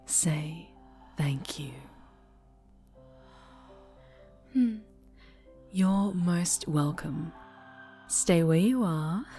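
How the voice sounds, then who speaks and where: A woman playing a sinister, obsessively doting dark-angel superhero in an intimate ASMR roleplay, cooing and whispering with sweet menace. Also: fairly low pitch, lively intonation, very slow, soft background music, heavily acted. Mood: cold, demanding.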